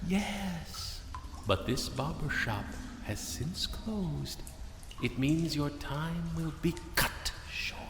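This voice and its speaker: An actor playing a mystical soothsayer in a comedy sketch, grand and ominous in manner. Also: male voice, on the high side, lively intonation, takes it slowly. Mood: portentous. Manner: grand, ominous, drops into casual talk. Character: theatrical mystical male soothsayer in a cave. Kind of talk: comedy audio sketch acting. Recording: echoey cave reverb with faint noise.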